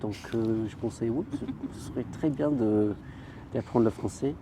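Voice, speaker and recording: A man's voice: medium pitch, unhurried, background noise. A man who grew up in the United Kingdom, interviewed casually, speaking hesitantly. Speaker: guest.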